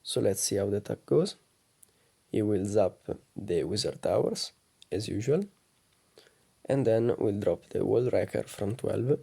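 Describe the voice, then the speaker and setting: Low, gravelly man explaining gameplay. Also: unhurried, long pauses. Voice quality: low, soft voice. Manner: calm, methodical explaining. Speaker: gaming channel host. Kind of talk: gameplay commentary voice-over.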